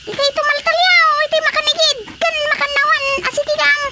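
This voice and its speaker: An actor voicing a tiny, bossy creature of an underground kingdom in radio comedy, with a squeaky, childlike voice and a commanding manner. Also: flat delivery, fairly quick. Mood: instructing. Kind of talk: radio comedy drama acting. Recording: loud noise behind the voice.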